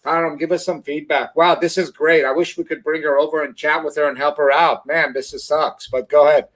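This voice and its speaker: A man, a doctor hosting a show, gives informal advice in a blunt, encouraging and somewhat halting manner. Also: fairly high pitch, fairly even intonation, a bit bright, low-quality recording.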